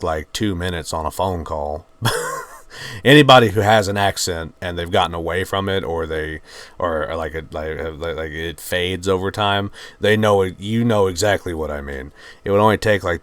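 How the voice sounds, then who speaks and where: A man with a mixed Virginian and Georgian Southern accent, hosting his channel and talking in a subdued, halting, heartfelt way. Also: low voice, dry recording. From Virginia, USA. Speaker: channel host. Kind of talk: reflective personal talk to camera.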